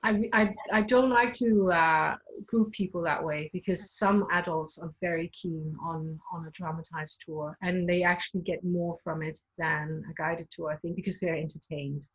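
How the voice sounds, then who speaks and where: Breathy Danish-native woman, tour performer, unhurried, thinks aloud with restarts. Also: on the low side, fairly even intonation, poor audio. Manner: hesitant, restarts often, laughs lightly. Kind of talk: conversational interview.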